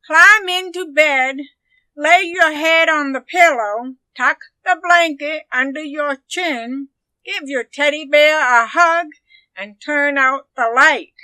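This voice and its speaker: Casting: a woman as a lively, doting storybook granny, high and forceful, easily astonished, singing out her orders. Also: slow pace, no echo, exaggerated voice, poor audio. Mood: fond, instructing. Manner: emphatic, sing-song instructions, shrieking exclamations. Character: energetic, doting grandmother, explosively astonished, fussing over bedtime. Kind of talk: children's storybook character voice.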